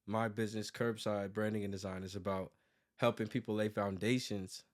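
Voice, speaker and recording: A man in his thirties with an American accent and a fairly low voice. He talks casually as an interview guest, rambling, sprinkling in "like" and restarting his thoughts as he explains himself. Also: level tone, normal speed. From United States, born in New York and raised in Virginia. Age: 30s. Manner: rambling, frequent "like" and self-corrections. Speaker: interview guest, graphic designer and business owner.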